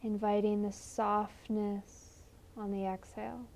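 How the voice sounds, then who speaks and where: A woman leading a guided meditation in a gentle, soothing, unhurried manner with long pauses. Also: medium pitch, level tone, gravelly, slight background noise.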